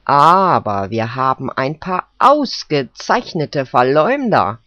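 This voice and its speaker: A narrator voicing a sly male fox prosecutor who speaks softly and smoothly. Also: mid-range voice, sing-song, takes it slowly, no echo, exaggerated voice. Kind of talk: storybook character voice. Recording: dull, dry recording.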